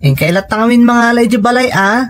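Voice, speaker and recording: A woman's voice: a little high, animated, fast, close to an everyday voice. A woman with a Filipino accent playing a generous, supportive village cousin in an audio drama, casual and friendly. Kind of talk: audio comedy-drama acting.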